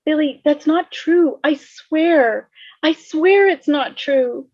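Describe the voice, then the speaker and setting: A woman playing a guilt-ridden, grieving teenage girl in a table read, halting and emotional, over a muffled video call. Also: high voice, a little mellow, no room sound. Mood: pleading, distressed. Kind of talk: fan table read acting.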